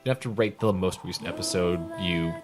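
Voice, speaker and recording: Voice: low-pitched, a little fast, music in the background. A podcast host chatting casually in a rambling, jokey way, often self-correcting. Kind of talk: casual chat.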